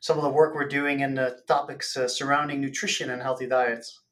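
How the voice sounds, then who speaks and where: A man from the Netherlands, an academic guest explaining things casually and haltingly in an interview. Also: medium pitch, little pitch movement, a bit bright. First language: Dutch. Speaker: podcast guest, nutrition professor.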